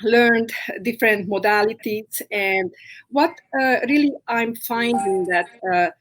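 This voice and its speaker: A middle-aged woman from Romania, whose first language is Hungarian, patiently explaining her therapy work as a guest in a live video-call interview, with frequent fillers. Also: fairly high pitch. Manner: explains slowly with many fillers.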